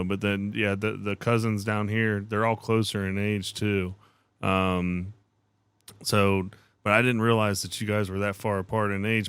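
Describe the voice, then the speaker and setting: A man's voice: fairly low pitch, a bit bright, smooth, no room sound. A man hosting a podcast in a casual, conversational way, encouraging and complimentary toward his guests. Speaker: podcast host.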